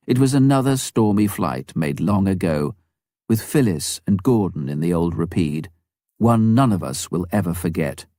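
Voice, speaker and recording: Male narrator, low register, dry close sound, measured and deliberate delivery for memoir audiobook. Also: dark tone, no echo.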